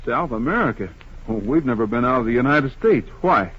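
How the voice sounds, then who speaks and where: A muffled vintage radio Western, with a cowboy hero in a husky mid-range voice. He is calm and honest, speaking politely and plainly with quiet determination. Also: medium pitch, fairly quick. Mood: earnest. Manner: polite, plain-spoken, earnest. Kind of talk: 1950s radio Western acting. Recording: muffled old-time radio recording.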